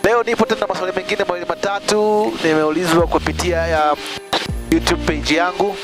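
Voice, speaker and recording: A man's voice: high voice, steady tone, a little fast, over music. Chatty young man talking in a plane cockpit. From Tanzania. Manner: chatty, laughing, switches languages often. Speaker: pilot and vlogger. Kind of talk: casual storytelling mixing Swahili and English. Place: small aircraft cockpit in flight. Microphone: headset boom mic.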